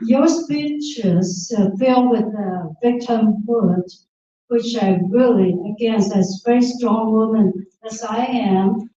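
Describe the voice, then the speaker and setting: A woman from Taiwan with a Taiwanese accent, asking a question at a public forum in halting, emphatic English. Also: on the high side, warm tone. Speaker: audience member asking a question. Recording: echoey hall, distant muffled mic.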